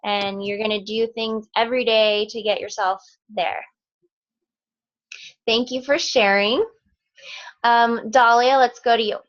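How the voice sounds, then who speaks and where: A woman's voice: fairly high pitch, steady tone, a little slow, pauses often. A woman hosting a casual group video call, encouraging each person and calling on them in turn. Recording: dull lo-fi call audio.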